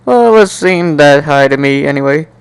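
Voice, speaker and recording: A man doing an amateur dramatic reading of a first-person story in an animated, jokey way, now and then stumbling and repeating words. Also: fairly high pitch, normal speed, smooth, dry recording, low-quality recording. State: coughing, slightly sick. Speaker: amateur fan fiction reader.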